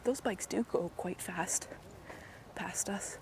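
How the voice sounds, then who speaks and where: Hoarse woman chatting on a park walk. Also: takes it slowly, background noise. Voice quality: raspy, slightly deep. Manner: chatty, often drops to soft asides. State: hoarse from a cold. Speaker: walking vlogger. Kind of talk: casual walking commentary. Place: outdoors in a park while walking. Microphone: handheld gimbal camera mic. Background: faint outdoor ambience.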